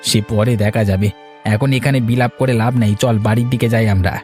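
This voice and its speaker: A man's voice: mid-range voice, fairly even intonation, fast, a little mellow, soft background music, lightly acted. A man voicing a sensible, resigned village farmer in a cartoon story, giving advice like an elder brother in a matter-of-fact way. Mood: reproving, practical.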